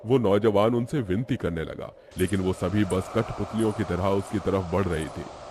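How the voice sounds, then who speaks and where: A man's voice: low-pitched, normal speed, noisy background. A man narrating a scripted horror story in a dramatic storytelling style.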